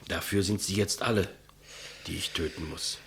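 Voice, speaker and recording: A humble male executioner who talks about the job plainly and with deference. The voice is deep and heavily husky, the delivery subdued. It is acted as in a 1950s German radio drama. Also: fairly even intonation, moderate pace, exaggerated voice. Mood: deferential. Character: humble, plodding, matter-of-fact male state executioner.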